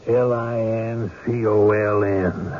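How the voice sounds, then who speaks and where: An actor playing a kindly, unhurried small-town sheriff in a radio drama. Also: male voice, fairly low pitch, flat delivery. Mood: calm, helpful. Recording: dull, noisy old radio broadcast.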